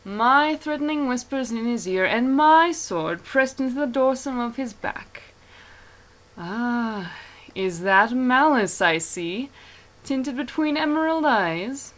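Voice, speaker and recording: A woman's voice: a little high, expressive, slow pace, a bit bright. A woman playing a sly, coolly threatening swordswoman in an arch, taunting fantasy monologue. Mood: mocking menace. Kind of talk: theatrical fantasy character monologue.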